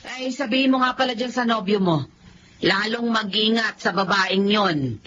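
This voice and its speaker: A woman's voice: medium pitch, normal speed, a bit bright, dull audio, slight background noise, natural voice. An actress playing a feisty, protective middle-aged mother in a Filipino radio drama. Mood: feisty, warning. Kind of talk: Tagalog radio drama acting.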